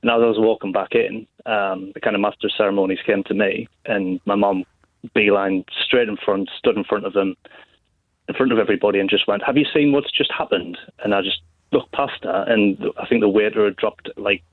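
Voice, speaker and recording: A man calls in to a radio show over a tinny phone line, his voice fairly low. He tells his story in a casual way, with many fillers and restarts. The sound is dry. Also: steady tone, a little fast, dry recording. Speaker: caller.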